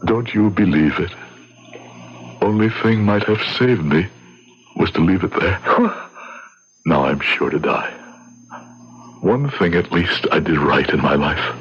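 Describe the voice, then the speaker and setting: An actor in an old-time radio drama playing a dying, remorseful man, bitter and fatalistic, halting and gasping in pain. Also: sits low, slightly rough. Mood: dying plea. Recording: dull, noisy old radio recording.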